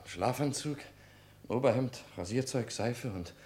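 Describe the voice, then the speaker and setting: An actor playing a worn-down, defiant suspect in German radio crime drama, exasperated and protesting. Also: male voice, mid-range voice, a little slow, darkish tone, slight background noise, lightly acted. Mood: weary, exasperated.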